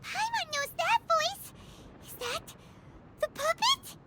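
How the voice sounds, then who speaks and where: A woman voicing a tiny, chatty female fairy companion in a game, with a squeaky, bubbly way of talking. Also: lively intonation, slow pace, bright, forceful tone, heavily acted. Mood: curious.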